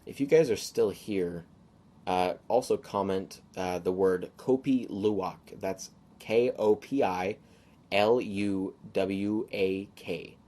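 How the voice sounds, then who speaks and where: A young man hosting a solo podcast, rambling casually with lots of fillers and restarts, his voice a little hoarse from a sore throat. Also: low voice, slow pace, dark tone. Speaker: podcast host.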